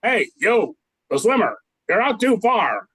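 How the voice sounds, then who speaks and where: An older man with a husky voice narrates a radio play. His delivery is very lively, rising and falling as he tells the story. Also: sits high, unhurried, dry recording. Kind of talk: animated scripted storytelling.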